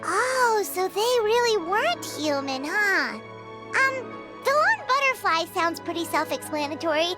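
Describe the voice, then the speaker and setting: A tiny floating fairy girl in an anime-style game. The voice is extremely high, childlike and squeaky, swinging around with excited curiosity. She is chirpy and inquisitive over loud, jingly music. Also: a little slow, full character voice. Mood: curious. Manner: chirpy, inquisitive, speaks of herself by name.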